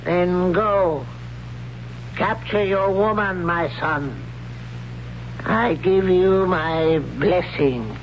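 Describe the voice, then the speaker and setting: A fervent old high priest hands down commands in a slow, solemn voice. The old radio broadcast sounds dull and lo-fi, with a faint hiss under it. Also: male voice. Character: stern elderly male high priest, zealous. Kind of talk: 1970s radio drama acting.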